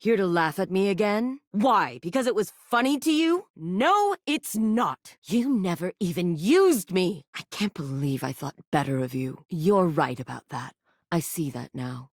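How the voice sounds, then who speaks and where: A woman's voice: on the low side, dry recording, clean studio sound. A woman voicing a brash, flirty female sex-toy character in a dating game, teasing and swearing freely. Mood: bitter. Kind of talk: video game voice lines.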